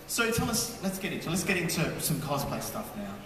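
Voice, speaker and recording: A man from Australia hosting a convention panel in a lively, jokey announcer manner in a large hall. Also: fairly high pitch, brisk, very noisy. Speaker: panel host. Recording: echoey hall, crowd, from far off.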